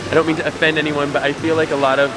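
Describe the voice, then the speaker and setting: A man's voice: fairly high pitch, level tone, racing. An American man talking casually in a street interview, chatty and rambling with lots of fillers. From United States. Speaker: passerby interviewee. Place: outdoors on a busy street.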